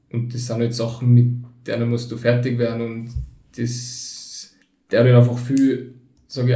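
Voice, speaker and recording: Gravelly, flat-voiced young Tyrolean man. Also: mid-range voice. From born in Turkey, raised in Tyrol. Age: 20s. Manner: reflective, hesitant with many fillers. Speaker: guest. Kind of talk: casual conversation. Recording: roomy echo, somewhat distant from the mic.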